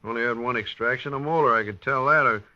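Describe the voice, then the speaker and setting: An actor playing a puzzled, matter-of-fact dental expert in 1950s radio drama style. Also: male voice, mid-range voice, quick pace, sharp tone. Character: puzzled male dental expert assisting a detective. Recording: dull vintage 1950s radio recording.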